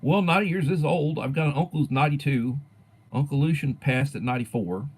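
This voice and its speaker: A man in his sixties, a teacher chatting casually on his own livestream and answering viewers, rambling and letting his sentences fade softly at the ends. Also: a little high, fast talker, husky, low-quality recording. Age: 60s. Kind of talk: casual livestream chat reading viewer comments.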